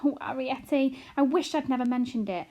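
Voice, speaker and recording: A woman's voice: high-pitched, a little fast, dark tone, highs cut off, voice pushed up. A woman doing a fretful, tearful little mother. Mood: fretting. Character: anxious fretful tiny mother, middle-aged woman. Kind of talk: audiobook character reading.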